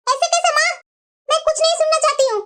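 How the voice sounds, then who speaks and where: An extremely high-pitched, whiny young married daughter, acted by a woman in a family story. She is impatient and scheming. She pushes her demands insistently. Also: fast, a bit bright. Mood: pleading, insistent. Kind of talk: scripted family story dialogue.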